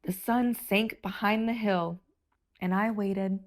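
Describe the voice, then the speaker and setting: A woman reading a picture book aloud for children with expressive, theatrical emphasis. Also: mid-range voice, slow pace. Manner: animated, theatrical emphasis for young listeners.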